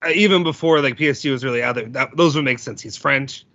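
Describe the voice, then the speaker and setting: A man hosting a casual sports podcast, loud-voiced and rambling, with lots of fillers and restarts. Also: medium pitch, fast, dry recording, lo-fi sound. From United States. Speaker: podcast host.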